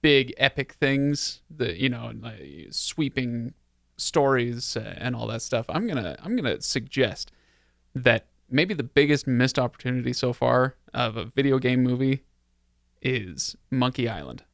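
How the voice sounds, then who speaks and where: A man hosts a casual podcast conversation in a mid-pitched voice with noticeable creak. He jokes and pokes fun at himself. The sound is dry, with very little room in it. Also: expressive, unhurried, no room sound. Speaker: podcast host. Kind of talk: casual chat.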